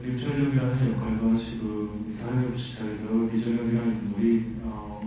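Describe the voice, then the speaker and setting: Measured man in an echoey ballroom. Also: on the low side, little pitch movement, noisy background. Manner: measured, thoughtful answers with pauses. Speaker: actor. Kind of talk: press conference answers. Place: hotel ballroom. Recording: echoey ballroom, distant mic.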